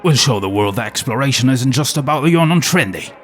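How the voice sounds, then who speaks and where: A man's voice: mid-range voice, animated, quick pace, soft background music, lightly acted. A man playing a wry old ex-TV explorer. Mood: sly. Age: older. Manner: wry, conspiratorial, world-weary. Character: aging male former nature documentary host.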